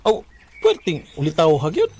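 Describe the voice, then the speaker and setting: Lovelorn Cebuano worker, highish voice. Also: male voice, wildly swooping pitch, normal speed, darkish tone, husky, heavily acted. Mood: earnest, shy. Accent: Cebuano Filipino. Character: lovelorn male worker, earnest and easily hurt. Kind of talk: Bisaya radio drama acting.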